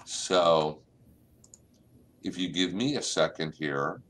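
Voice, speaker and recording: A middle-aged man with a low voice that rises and falls a lot, explaining plant pests in a relaxed way. He is on a webcam in an office and hosts a live gardening call-in show. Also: slow, a little hiss or hum. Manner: relaxed, explanatory, uses y'all. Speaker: extension agent and plant clinic host. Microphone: webcam on a video call.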